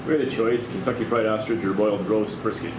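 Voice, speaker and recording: A man's voice: lowish voice, fairly even intonation, fast, close to an everyday voice. A man reading the part of a concerned airliner character questioning a doctor, in an amateur comedy table read. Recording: echoey, noisy room with hum.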